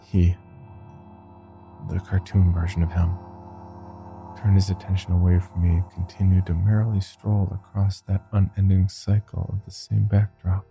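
A man reading a scripted first-person horror story in a slow, deliberate, somber way. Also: deep voice, little pitch movement, creaky, over music.

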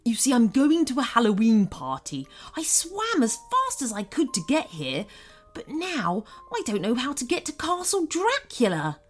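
Woman doing a male storybook fishman, high voice, effusive, polite, animated. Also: moderate pace, soft background music. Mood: delighted, flustered. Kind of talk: children's storybook character voice.

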